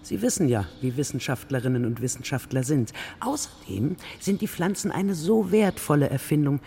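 Animated, wily smooth talker. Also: male voice, fairly high pitch, normal speed, long reverb tail, a little hiss or hum. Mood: sly, persuasive. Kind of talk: German children's radio play acting.

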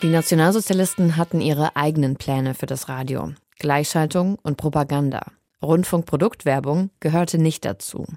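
A woman's voice: low-pitched, darkish tone, no echo, clean studio sound. A woman reading a scripted radio feature as a reporter.

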